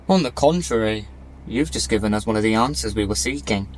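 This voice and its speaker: A man's voice: on the low side, normal speed, a little hiss or hum, lightly acted, low-quality recording. A man playing a breezy, teasing hero in a fantasy audio drama, matter-of-fact in manner.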